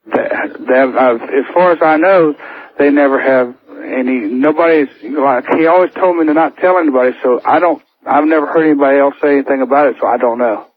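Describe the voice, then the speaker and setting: A man's voice: medium pitch, a little fast. A man calling a radio show, giving a personal account in a hesitant, repetitive way. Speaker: anonymous radio caller. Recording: dry, thin telephone line on radio.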